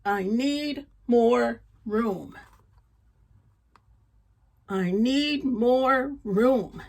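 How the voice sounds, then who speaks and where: A woman's voice: a little high, very slow, creaky, heavily acted. A woman storyteller voicing a weary man who complains miserably that he cannot sleep. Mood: miserable.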